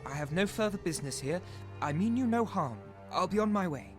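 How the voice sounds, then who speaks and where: A young wizard, pitched high and very animated. He pleads earnestly and hurriedly, as a frightened intruder in a dark fantasy story with faint music underneath. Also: a little fast. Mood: defiant, nervous. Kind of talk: dark visual novel audio drama acting.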